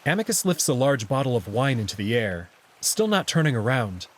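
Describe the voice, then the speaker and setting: A man's voice: mid-range voice, sing-song, brisk. A man reading first-person story narration aloud in a scripted visual novel style.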